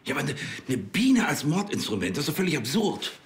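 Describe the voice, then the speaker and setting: Officious TV-drama police boss, fussy, higher-voiced, tripping over words in exasperation. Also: male voice, big pitch swings, quick pace. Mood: exasperated. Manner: stammering, officious.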